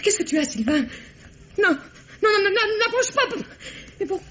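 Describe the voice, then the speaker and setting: An actress playing a terrified, pleading young wife in a 1960s French radio drama, shrill and sobbing. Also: female voice, lively intonation, moderate pace, fairly bright tone, slight background noise. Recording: dull old radio recording.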